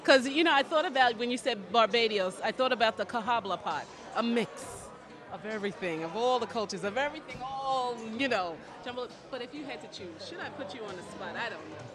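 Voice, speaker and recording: A man with a very high voice asks chatty, playful questions. A crowd chatters loudly behind him. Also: expressive, fairly quick. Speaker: interviewer. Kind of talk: casual interview.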